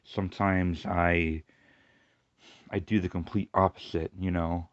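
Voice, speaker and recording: A man with a lateral lisp, rambling through a casual personal monologue with halting restarts and fillers. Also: low voice, flat delivery, no room sound, highs cut off. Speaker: YouTuber.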